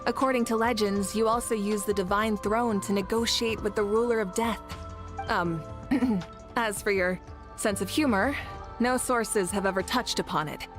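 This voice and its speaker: A woman voicing a poised, courteous young ruler, measured and a bit awkward, in a video game. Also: mid-range voice, natural voice. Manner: polite, measured, a little awkward. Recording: game audio with faint music.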